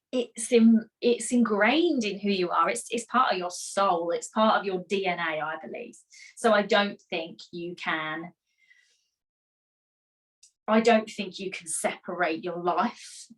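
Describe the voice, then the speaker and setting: A woman coaching musical theatre performers in a live online masterclass, energetic and encouraging, chatty with rhetorical questions. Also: on the high side, low-quality recording. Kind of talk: live online masterclass, reading chat comments.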